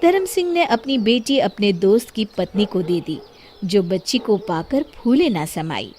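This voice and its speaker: A woman's voice: medium pitch, big pitch swings, unhurried, a little mellow, a little hiss or hum. A woman reading a scripted children's story as its narrator.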